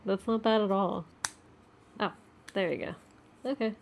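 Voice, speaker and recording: A woman's voice: mid-range voice, fairly even intonation, unhurried, vocal fry, slight background noise. A woman chatting casually and spontaneously as she tries out a product. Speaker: host's wife, guest trying the product. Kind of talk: casual chat, spontaneous reactions.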